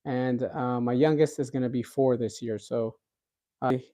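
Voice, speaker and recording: A man's voice: medium pitch, fairly even intonation, unhurried, dark tone. A man in his thirties hosting a cooking livestream, chatting casually with viewers and reading out their comments, rambling with lots of fillers and restarts. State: recovering from a cold.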